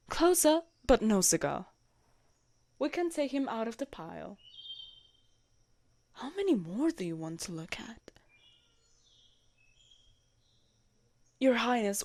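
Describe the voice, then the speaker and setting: A woman playing a jealous, protective royal knight in an intimate audio roleplay, expressive and blunt in manner. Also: on the high side, no room sound, studio quality. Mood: measured, gently dismissive, then hurried, coaxing. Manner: expressive, blunt, sweary, teasing. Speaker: voice actor in a romantic audio roleplay.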